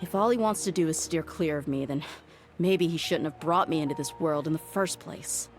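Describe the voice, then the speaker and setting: A woman's voice: deep voice, normal speed, close to an everyday voice. An actress playing a tough young woman mercenary who talks bluntly with guarded frustration, over faint music. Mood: frustrated. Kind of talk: video game voice acting. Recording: game audio with faint music.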